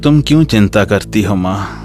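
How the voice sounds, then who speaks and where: A man's voice: lowish voice, lively intonation, normal speed, a little mellow, soft background music, close to an everyday voice. A man playing a defiant, bitter young student in a radio drama, speaking emphatically and argumentatively. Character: defiant, hot-headed young male student, wounded and bitter.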